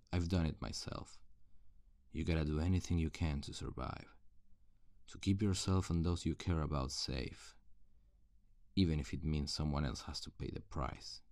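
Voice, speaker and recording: A man playing a wary, threatening survivor of a zombie apocalypse in an ASMR audio roleplay, gravelly and menacing as he interrogates. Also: very deep voice, a little mellow, no echo, clean studio sound. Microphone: close, dry studio-style microphone.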